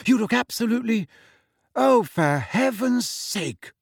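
A man voicing a bossy, earnest teenage schoolgirl in an audiobook, crisp and insistent, with dignity. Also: extremely high pitch, lively intonation, a little slow, no echo, studio quality, voice pushed up, exaggerated voice. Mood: exasperated.

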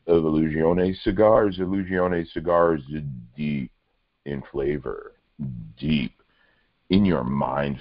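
A man's voice: very deep voice, no echo, highs cut off. A man hosting a casual podcast, rambling and restarting his sentences, with dry humor. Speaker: podcast host.

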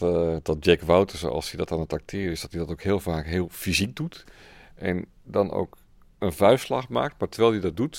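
Male interviewee, informal and hesitant, very low register, crackly fry, close dry studio sound. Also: dry recording. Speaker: radio drama sound designer. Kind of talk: casual interview answers.

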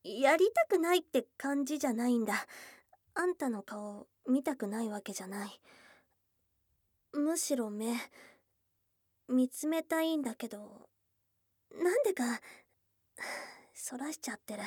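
A woman playing a high-voiced, bashful young goblin girl. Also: lively intonation, slightly rough, dry recording, clean studio sound, heavily acted. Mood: flustered, bashful. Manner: hesitant, bashful, halting, with soft murmurs. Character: shy, tomboyish young goblin girl, smitten and flustered. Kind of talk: intimate ASMR situation voice drama. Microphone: close studio microphone, dry.

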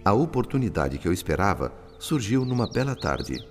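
An actor with a Brazilian accent playing a wise, kindly spirit friend in a radio drama, speaking in a theatrical, didactic way. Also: male voice, lowish voice, big pitch swings, normal speed, music in the background. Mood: reflective narration. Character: wise, kindly male spirit friend narrating his afterlife story.

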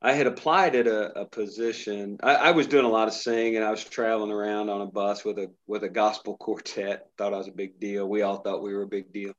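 A man's voice: on the low side, steady tone, a little fast, few pauses, highs cut off. A middle-aged pastor from Indiana, chatting casually in an interview and telling his story with many restarts. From Indiana, USA. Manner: rambling storyteller, frequent restarts.

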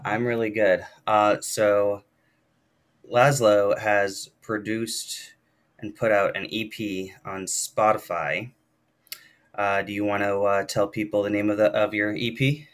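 A man's voice: fairly low pitch, flat delivery, takes it slowly. A man hosting a casual interview, friendly and encouraging, with frequent hesitations. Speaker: interview host.

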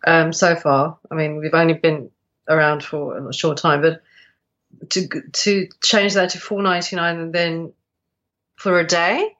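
A woman's voice: low-pitched, flat delivery, a little slow, a bit bright, dull audio. A South African woman developing an app, asking for advice in a casual call, hesitant with many fillers and restarts.

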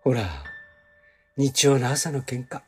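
An actor playing a gentle, apologetic young husband who has died, in an emotional audio drama. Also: male voice, mid-range voice, level tone, takes it slowly, lightly acted. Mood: confused, apologetic.

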